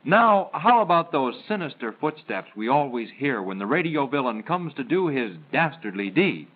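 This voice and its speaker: A man's voice: medium pitch, big pitch swings, moderate pace. A man delivering scripted 1930s film patter in a playful, theatrical, questioning manner. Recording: tinny 1930s film soundtrack, faint noise.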